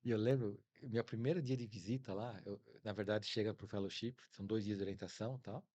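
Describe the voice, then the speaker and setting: A man's voice: lowish voice, fairly even intonation, a little fast, dark tone. A middle-aged man with a Brazilian Portuguese accent, talking casually as an interview guest and acting out bits of dialogue as he tells his stories. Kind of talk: casual anecdotal interview talk.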